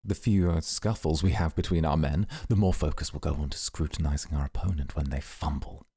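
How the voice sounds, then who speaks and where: Guy doing a composed mafia don, dark mellow voice full of gravel, measured and scheming, asmr roleplay. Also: a bass voice, steady tone, no echo, studio quality, exaggerated voice. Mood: calculating, calm. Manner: measured, formal, restrained. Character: composed, calculating male mafia boss, coolly amused.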